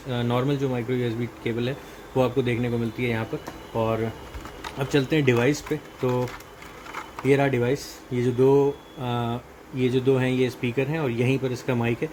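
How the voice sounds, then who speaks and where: Male product reviewer, Indian accent, level delivery, explanatory and casual, noisy recording. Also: medium pitch. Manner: explanatory, mixes in English technical terms. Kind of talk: casual unboxing and product walkthrough.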